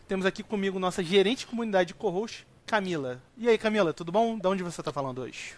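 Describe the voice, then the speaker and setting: High-pitched Brazilian male podcast host. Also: fast talker. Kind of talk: podcast introduction and interviewing.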